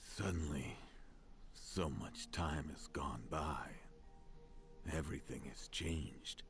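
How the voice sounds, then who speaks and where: Weary old villager, very deep and slow, half-whispered and regretful, faint hiss behind. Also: male voice, full character voice. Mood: wistful. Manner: hushed, hesitant, regretful. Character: weary older man hiding in a deserted village.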